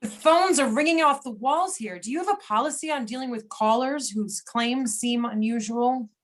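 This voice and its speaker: Woman to play courteous, inquisitive investigator in a play reading, voice a little on the high side. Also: moderate pace, natural voice. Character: polite female investigator interviewing witnesses. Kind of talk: amateur readers theater reading over videoconference.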